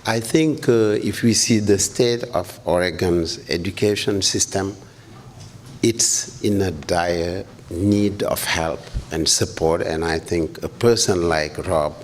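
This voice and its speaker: A man with a Senegalese accent speaks in a low-pitched voice at a public hearing. His informal testimony comes haltingly, with self-corrections, over faint room noise. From Senegal, West Africa. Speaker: school principal giving testimony. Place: public hearing in a university hall. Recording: faint background noise, hall ambience.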